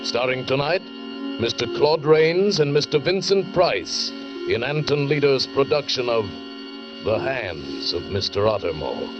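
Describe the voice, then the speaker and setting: Slow, portentous male announcer over loud music. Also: medium pitch, very animated. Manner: portentous, deliberate. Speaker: show announcer. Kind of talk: dramatic 1940s radio announcing. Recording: dull old radio recording under loud music.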